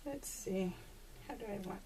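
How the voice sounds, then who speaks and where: A woman talks casually to her viewers in a chatty, rambling, informal way, with a middle-of-the-road pitch. She sounds a little away from the microphone, and there is faint noise behind her. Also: moderate pace. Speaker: crafting video host. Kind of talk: casual chat to viewers while crafting. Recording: distant mic with faint background noise.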